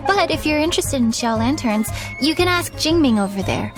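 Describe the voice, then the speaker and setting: A woman's voice: high-pitched, expressive, fairly quick. An actress playing a friendly, confident townswoman in a video game, with a casual, chatty manner. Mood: helpful. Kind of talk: video game character acting. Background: game music.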